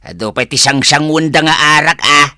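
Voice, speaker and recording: An actor playing an excitable, scolding village elder in a Philippine radio comedy, exclaiming in an animated way. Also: medium pitch, fairly quick, dry recording, studio quality. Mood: chatty.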